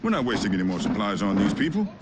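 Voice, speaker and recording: A ruthless army colonel barks clipped orders in a low voice that swoops up and down. He sounds unmoved and in charge. The scene sits over loud background noise from a game. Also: big pitch swings, very fast. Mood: cold. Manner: cold, commanding orders. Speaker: military officer.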